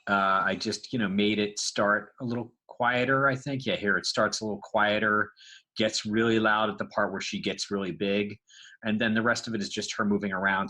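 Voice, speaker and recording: A man with a fairly low, bright but husky voice talks through a sound edit. He is informal and loosely explanatory, like a creator casually walking through his own work. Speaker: podcast creator and sound designer.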